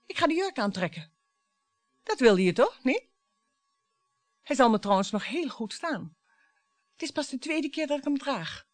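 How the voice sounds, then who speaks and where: An actress in a radio play playing a bitter, provocative wife who dwells on words theatrically. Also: female voice, high voice, sing-song, measured pace, long pauses, dry recording, soft background music. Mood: taunting, seductive. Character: bitter, taunting wife dredging up old family grievances.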